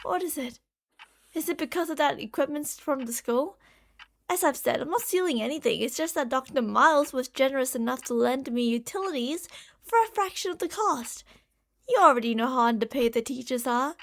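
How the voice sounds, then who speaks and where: A woman's voice: high-pitched, lively intonation, a little fast. A woman playing an impatient, obsessive female mad scientist in an ASMR roleplay, theatrical and condescending. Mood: brisk, evasive.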